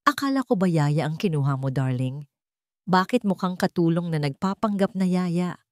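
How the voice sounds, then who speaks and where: A woman's voice: fairly low pitch, animated, normal speed, a little mellow, no room sound, clean studio sound, lightly acted. A woman voicing a haughty, glamorous rich woman in a story, sneering and affected, mixing in English. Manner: contemptuous, affected English-mixed speech. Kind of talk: storybook narration dialogue.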